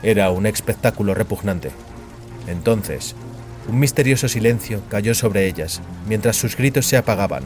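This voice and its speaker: A man narrating dramatic fiction in a solemn, measured way. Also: lowish voice, expressive, music in the background.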